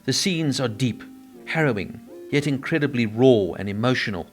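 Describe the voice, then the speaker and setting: A man's voice: medium pitch, lively intonation, normal speed, faint music behind. Man reading a film review. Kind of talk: scripted narration.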